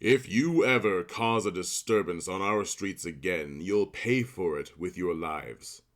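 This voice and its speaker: A man voices a stern prince laying down the law in an audio play. He speaks low and slowly with firm authority. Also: a bit bright. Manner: authoritative, scolding. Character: stern commanding prince, male ruler. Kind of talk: audio drama acting.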